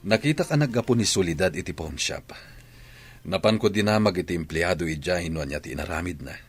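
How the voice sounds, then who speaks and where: A troubled husband played by a man in a radio drama, speaking in a deep voice that swings with feeling. He is a grown son confiding in his mother, and his delivery is dramatic. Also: expressive, brisk, husky, soft background music. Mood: grave, disappointed. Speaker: voice actor in an audio drama.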